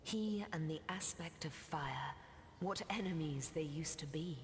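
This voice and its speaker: An actress playing an ancient mystical seer in a fantasy game, speaking solemn, cryptic, archaic lines in a breathy voice that often sinks toward a whisper. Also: female voice, low voice, unhurried, a little hiss or hum. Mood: reverent. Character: ancient mystical female seer who weaves threads of time. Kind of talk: scripted fantasy game dialogue. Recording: long cavernous reverb.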